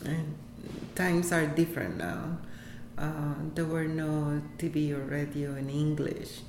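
Woman, Puerto Rican accent, native Spanish speaker, halting, echoey room, faint noise. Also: low-pitched, fairly even intonation. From Puerto Rico. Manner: halting, many fillers and restarts, laughs often. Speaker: interviewee, health center employee. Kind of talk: casual interview.

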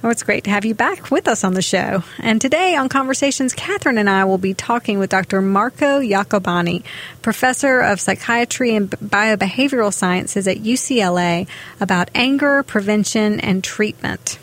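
A woman's voice: mid-range voice, moderate pace, a bit bright. A woman, a physician hosting a radio health show, talking conversationally with some ums. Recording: faint background noise.

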